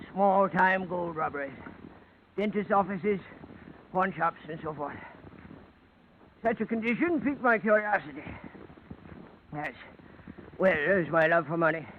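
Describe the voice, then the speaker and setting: An actor playing a suave, verbose criminal schemer in 1940s radio drama, speaking in a formal, self-satisfied way. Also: male voice, sits high, close to an everyday voice. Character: suave, verbose male criminal schemer explaining his racket. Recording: dull, noisy vintage radio recording.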